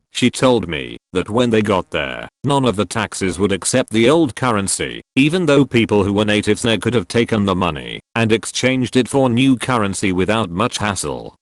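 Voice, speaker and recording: Man, lowish voice, dry close sound, steady read-aloud narration. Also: no echo. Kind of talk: reading forum posts aloud.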